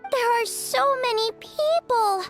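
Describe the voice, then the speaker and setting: A woman voicing a tiny floating female companion in a video game cutscene, childlike, lively and exclamatory. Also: very high voice, slow pace, exaggerated voice. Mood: eager. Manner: lively, exclamatory, speaks of herself by name. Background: game music.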